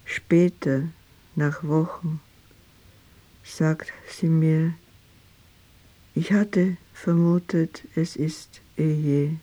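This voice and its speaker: Very slow, halting grieving daughter. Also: female voice, low voice, steady tone, exaggerated voice, rough recording. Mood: stark, shaken. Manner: halting, deliberate phrases with pauses. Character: grieving grown daughter recalling her father's death. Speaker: performer in a radio play. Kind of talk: literary radio play monologue with music. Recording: loud strings and brass over voice.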